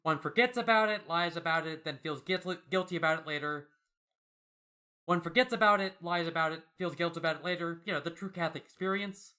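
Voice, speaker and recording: A man's voice: on the high side, a little fast, sharp tone. A man hosting a podcast, chatting casually and reading out listener mail in a wry, jokey way. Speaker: podcast host.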